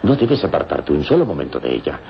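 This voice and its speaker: A man playing a heroic, vigilant adventurer in a radio serial, speaking in a grave, authoritative, measured manner. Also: very deep voice, big pitch swings, creaky, full character voice. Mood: grave, instructing. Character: heroic, vigilant adventurer, calm and commanding protector. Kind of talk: old radio serial acting. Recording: muffled, noisy old radio recording.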